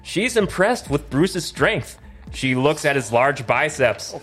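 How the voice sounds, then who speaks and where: Animated, stammering man hosting a show. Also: on the high side, slow pace. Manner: excited, stammering and rambling. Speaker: show host. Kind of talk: casual talk and hammed-up script narration. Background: suspenseful crime music playing in the background.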